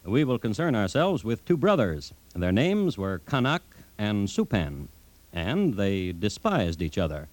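An actor playing a droll, solemn crime-history storyteller, narrating a 1950s radio drama in deliberate, dry, clipped phrases. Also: male voice, on the low side, big pitch swings, darkish tone, dry recording, poor audio. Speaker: host-narrator.

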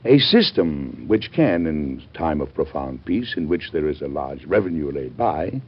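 A dull vintage radio recording of a burdened American president. The voice is very deep and husky. He speaks like a formal, devout statesman in oratory. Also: exaggerated voice. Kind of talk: old-time radio drama acting.

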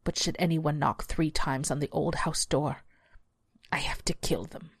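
A woman voicing a massive demonic devil dog from a horror story, guttural and deliberate, menacing yet loyal. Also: low-pitched, fairly even intonation, husky, no echo, in a whisper, clean studio sound, exaggerated voice. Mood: grim. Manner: slow, deliberate menacing delivery.

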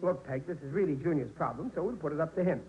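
A man's voice: fairly high pitch, lively intonation, quick pace, darkish tone. An actor playing a stubborn, blustering working-class father, bossy and exasperated, in 1940s radio comedy style. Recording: dull, noisy old radio recording.

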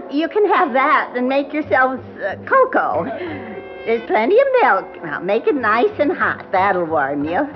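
A woman's voice: sits high, full character voice. An actress playing a fretful, doting elderly grandmother who rambles and fusses, in an old-time radio drama. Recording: dull old radio recording with music.

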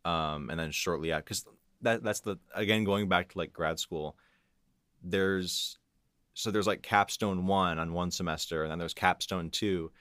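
Man, very low voice, dry close recording, casual interview guest, hesitant thinking aloud. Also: fairly even intonation, unhurried, fairly bright tone, dry recording. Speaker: guest composer and audio engineer. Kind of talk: casual behind-the-scenes interview talk.

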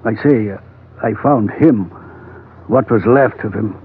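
An actor playing a grave, shifty old male trader, speaking with deliberate, portentous phrasing in a 1940s radio drama. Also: fairly low pitch, close to an everyday voice. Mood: grave, ominous. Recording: tinny, faintly noisy old radio broadcast.

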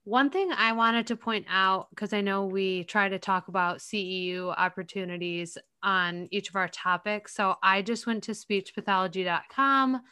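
A woman in casual podcast conversation with a bright, smooth, crisp voice. She talks in an enthusiastic, chatty way as she passes on tips. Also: medium pitch, moderate pace, hardly any pauses. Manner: chatty and enthusiastic, recommends resources. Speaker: podcast co-host, speech-language pathologist. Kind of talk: casual professional podcast chat.

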